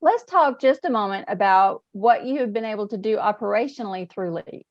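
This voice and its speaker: A woman hosts a formal interview with polite, scripted questions. Her medium-pitched voice comes through dull and lo-fi, with very little room echo. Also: animated, brisk. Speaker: interview host. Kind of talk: scripted hosting. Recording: dull, muffled, lo-fi audio.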